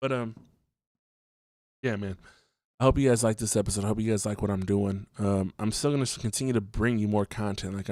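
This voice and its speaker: A man's voice: lowish voice, a little mellow, no echo, clean studio sound. A man hosting his own podcast alone, talking casually with lots of ums and restarts, a little stuffy from allergies. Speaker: podcast host. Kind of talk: solo podcast monologue. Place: home, just woke up.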